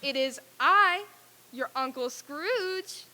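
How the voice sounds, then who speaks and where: A woman's voice: sits high, expressive, slow pace, pushed and bright, full character voice. A woman playing a reformed, overjoyed old miser in a theatrical old-time radio drama reading, with exclamatory delivery. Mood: warm joy.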